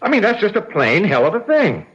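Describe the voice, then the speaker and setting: A man's voice: sits high, huge pitch swings, a little fast, a bit bright, breathy edge, full character voice. An actor playing a calculating, indignant man suspected of murder, in emphatic, theatrical radio mystery style. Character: smooth, calculating, aggrieved brother-in-law suspected of murder. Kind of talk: old-time radio mystery acting. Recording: dull, lo-fi radio drama recording.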